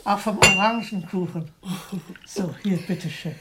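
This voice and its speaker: A woman's voice: mid-range voice, unhurried, heavy background noise, close to an everyday voice. A woman acting a polite, deferential housekeeper in a radio drama. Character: dutiful, motherly older housekeeper.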